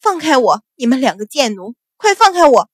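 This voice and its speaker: A woman's voice: sits high, sing-song, unhurried, slightly rough, dry recording, clean studio sound, full character voice. A woman voicing a furious, spoiled young concubine in an audiobook drama, screaming with defiance. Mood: indignant, defiant.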